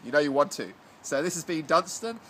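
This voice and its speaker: Chatty male vlogger, slightly high, bright voice, nasal from a cold, rambling delivery. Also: expressive, brisk, a little hiss or hum. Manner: chatty, rambling, many fillers and restarts. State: congested with a cold. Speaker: vlogger reviewing a self-help book. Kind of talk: casual to-camera chat. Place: home garden area.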